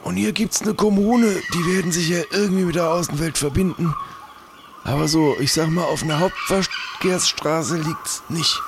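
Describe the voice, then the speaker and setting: Man playing gravelly, level-headed pirate companion. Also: on the high side, moderate pace. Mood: matter-of-fact. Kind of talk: improvised audio drama. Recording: clearly noisy background.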